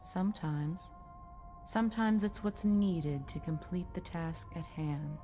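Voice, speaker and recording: Serene female adviser, lowish dark mellow voice, solemn, knowing, faint music, game cutscene. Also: takes it slowly. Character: solemn, serene woman counselling sacrifice. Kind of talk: video game cutscene acting.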